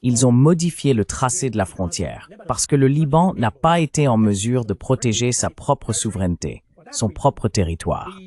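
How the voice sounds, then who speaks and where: A man reading a measured, explanatory French voice-over of an interview. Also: mid-range voice, animated, darkish tone. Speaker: translation voice-over.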